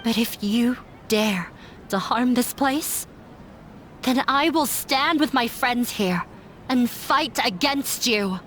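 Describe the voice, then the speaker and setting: High-voiced, resolute runaway daughter. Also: female voice, fairly bright tone, slight background noise. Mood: defiant. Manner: formal, measured, resolute. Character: earnest runaway daughter defying her scholar father. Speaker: voice actor in a game dub. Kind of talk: dramatic game dialogue. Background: background music.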